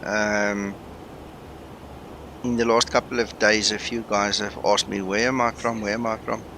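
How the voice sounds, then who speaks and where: Slow, bright-voiced man. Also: on the low side, slight background noise. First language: Afrikaans. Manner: relaxed, thinking aloud. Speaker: flight sim streamer. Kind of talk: casual chat with live viewers.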